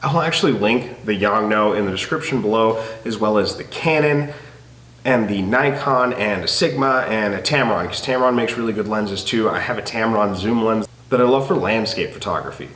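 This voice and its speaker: A man's voice: lowish voice, normal speed, a bit bright, echoey room. A man with a nasal, stuffed-up voice from a head cold, chatting enthusiastically to camera about photography gear. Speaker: photography YouTuber. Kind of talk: casual gear talk to camera.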